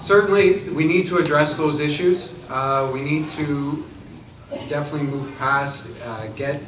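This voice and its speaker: Loud plainspoken man in a noisy hall. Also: a little high, measured pace. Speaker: political candidate. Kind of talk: debate answer. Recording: distant, noisy echoey hall.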